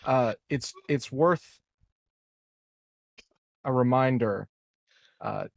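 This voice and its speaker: A man's voice: mid-range voice, huge pitch swings, drawn-out words, gravelly, dry recording. A man chatting casually as a player in a tabletop game.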